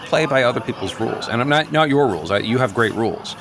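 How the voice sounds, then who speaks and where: A man playing an earnest, neurotic, self-absorbed friend in an improvised radio comedy, halting and over-explaining. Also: medium pitch, lively intonation, natural voice. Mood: defensive, earnest. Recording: clearly noisy background ambience.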